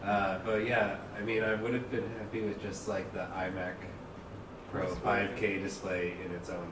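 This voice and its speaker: A man's voice: deep voice, little pitch movement, moderate pace. A man at a meetup, joking and chatting casually with the group. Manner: laughing, jokey. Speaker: meetup attendee. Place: meetup room. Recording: roomy, from far off, audible noise.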